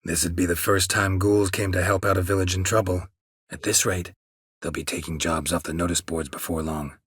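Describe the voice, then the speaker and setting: An actor playing a gruff, stoic monster hunter in a video game, with terse, deadpan, dry-witted delivery and a gravelly voice. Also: male voice, normal speed, no echo. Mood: dry, guarded. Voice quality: gravelly, husky low voice. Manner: terse, deadpan, clipped phrasing.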